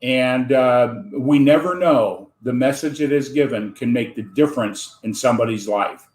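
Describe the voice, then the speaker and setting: A man's voice: mid-range voice, normal speed, a little mellow, reverberant, poor audio. An American man hosting an online interview show, talking in a rambling way with many fillers. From United States. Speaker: host. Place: home in Wisconsin.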